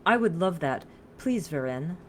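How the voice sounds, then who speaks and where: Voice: mid-range voice, animated, measured pace, a bit bright, a little hiss or hum. A narrator voicing an eager, curious and slightly wry heroine in a story, speaking informally.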